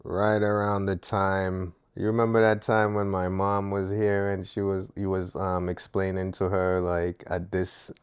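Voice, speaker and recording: Slow, deep-voiced Jamaican man. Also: clear, resonant tone. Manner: relaxed, hesitant, many fillers. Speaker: podcast guest, husband. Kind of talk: casual conversation.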